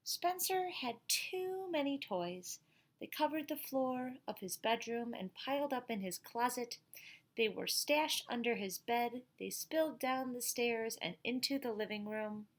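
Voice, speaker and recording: A middle-aged woman reading a picture book aloud like a teacher at storytime, expressive, with chatty asides and questions to young listeners. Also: on the high side, a little slow.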